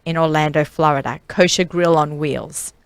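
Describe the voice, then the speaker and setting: A woman's voice: low voice, steady tone, moderate pace, no echo. Chatty Australian woman in a dry studio. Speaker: radio show host. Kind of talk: casual chat about food.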